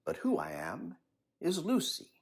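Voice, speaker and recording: Husky, very animated older man. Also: on the high side. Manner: expressive, unhurried storytelling. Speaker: children's book author reading aloud. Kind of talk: personal commentary and storybook reading.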